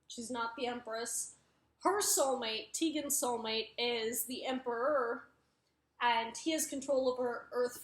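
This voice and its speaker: A young woman with a mixed Wisconsin, New York and Arizona accent, casually chatting through a book review in a rambling way, correcting herself and filling gaps with "like". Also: on the high side. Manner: rambling, self-correcting, many fillers. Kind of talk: casual chat. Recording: echoey room.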